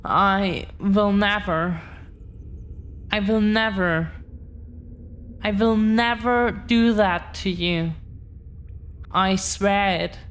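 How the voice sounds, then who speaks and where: A woman playing a tender, devoted young dragon-descended girlfriend in intimate roleplay audio, speaking haltingly and earnestly, repeating phrases. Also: medium pitch, a little hiss or hum. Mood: earnest, vowing.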